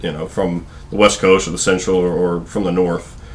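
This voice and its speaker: A man's voice: sits low, little pitch movement, moderate pace, a little hiss or hum. A man in his thirties from Virginia with a Southern accent, rambling casually to camera in his living room, full of fillers and restarts. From Virginia, USA. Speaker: vlogger. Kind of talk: casual talking-head vlog.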